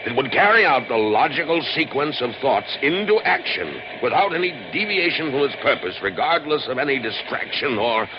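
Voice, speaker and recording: A man's voice: mid-range voice, sing-song, normal speed, hardly any pauses, highs cut off, lightly acted. Man acting an intense scientist on noisy old radio. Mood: obsessed. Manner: intense, brooding, speechifying. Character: driven, exasperated male scientist doctor of the future.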